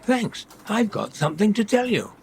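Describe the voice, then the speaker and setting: An actor playing a gentle, earnest, grieving old widower with a creaky voice in a radio comedy. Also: male voice, high voice, sing-song, normal speed, noisy background, exaggerated voice. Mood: polite, eager. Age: older, about 70s. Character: grieving, trusting elderly widower shopkeeper.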